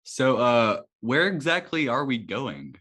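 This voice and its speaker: A man's voice: medium pitch, big pitch swings, takes it slowly, bright, forceful tone, breathy edge, full character voice. A man playing an unsure, casual newcomer superhero in an amateur table read. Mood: puzzled.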